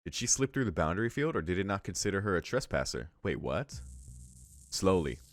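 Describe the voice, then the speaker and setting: A man hosting a visual novel read-along, switching between narrating the text aloud and casual, halting reaction commentary. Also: low-pitched, very animated. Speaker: let's-play host reading a visual novel aloud and reacting.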